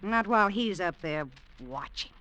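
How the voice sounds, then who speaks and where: An actress playing a discontented, manipulative, sharp-tongued femme fatale in an old radio drama. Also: female voice, medium pitch, lively intonation, normal speed, clean tone. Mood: bitter, irritated. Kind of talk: 1940s-50s radio drama acting. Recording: noisy old radio broadcast recording.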